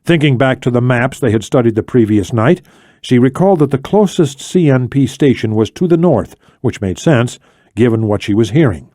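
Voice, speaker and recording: A man's voice: medium pitch, mellow, no room sound, clean studio sound. A man reading scripted thriller narration as an audiobook narrator.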